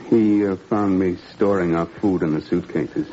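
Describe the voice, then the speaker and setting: A man's voice: low-pitched, fairly even intonation, a little slow, dark tone. An actor playing an anguished but defiant father in a 1940s radio drama, earnest and weary. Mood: anguished, defeated. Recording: muffled, hissy 1940s radio recording.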